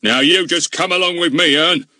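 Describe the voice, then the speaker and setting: A man's voice: on the high side, normal speed, no echo, pitched above the performer's own voice. A man voicing a burly, bullying village policeman who blusters and bellows orders at a boy. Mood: threatening. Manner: loud, blustering, commanding.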